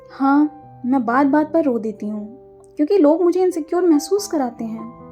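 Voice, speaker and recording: A woman's voice: high voice, warm tone, highs cut off. A woman voicing a sensitive, introverted young girl in an audio story, with an earnest, emotional way of talking. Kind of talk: acted dialogue in audio storytelling.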